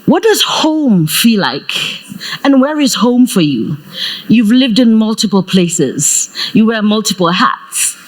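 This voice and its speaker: A woman from Kenya moderates a conference panel with a loud, playful delivery and quick laughs. Her voice sits a little above the usual female range. She speaks through a handheld mic on stage in front of an audience. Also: on the high side, lively intonation, poor audio.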